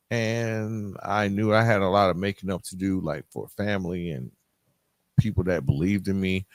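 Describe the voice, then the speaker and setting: A man hosting a podcast, telling personal stories in a candid, conversational, halting way with phrases repeated for emphasis. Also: medium pitch, vocal fry, a little mellow, no echo, studio quality. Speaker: podcast host. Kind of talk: casual personal storytelling to listeners.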